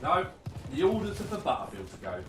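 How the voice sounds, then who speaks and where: A man's voice: sits high, very animated, brisk, fairly bright tone, far from the mic. A man playing a dutiful, careworn British army sergeant in a war radio play, firm, earnest and paternal. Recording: roomy, off-mic, faint background noise.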